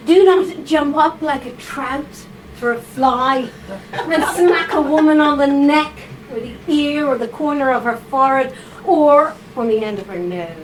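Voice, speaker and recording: Woman reading real slow at a live salon, kinda high voice, jokey and coaxing, noisy room. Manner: playful, laughing, coaxing. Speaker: reader at a writers' salon. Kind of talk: reading aloud and directing volunteers. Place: live event room with audience.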